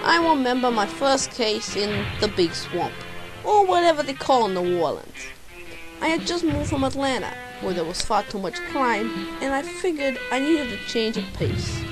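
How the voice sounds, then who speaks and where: Girl doing a cocky goofy private eye, high voice, swings all over, hammy radio play, music behind. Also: very animated, moderate pace, rough recording. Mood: self-important narrating. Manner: pompous, theatrical. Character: bumbling, self-important private detective named Danny. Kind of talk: hammy amateur radio drama detective narration.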